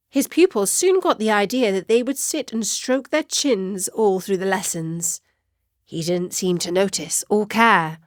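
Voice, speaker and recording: A woman narrating a scripted children's story with deliberate, dramatic phrasing. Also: mid-range voice, dry recording, clean studio sound.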